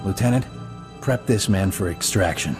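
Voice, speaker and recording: An actor playing a dry, authoritative intelligence officer in a video game, matter-of-fact, in a reverberant space. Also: male voice, sits low, normal speed, loud music behind the voice, close to an everyday voice. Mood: firm, supportive. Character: composed, dry-humoured male intelligence squad leader.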